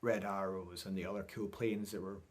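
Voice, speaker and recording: A man's voice: low voice, fairly even intonation, moderate pace. A middle-aged man talking casually to camera in a chatty, rambling, informal vlog style. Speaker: vlogger.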